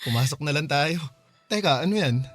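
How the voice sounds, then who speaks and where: An actor with a Filipino accent playing an attentive, reassuring young boyfriend in a radio drama. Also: male voice, a little high, expressive, a little fast, a bit bright, soft background music. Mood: concerned.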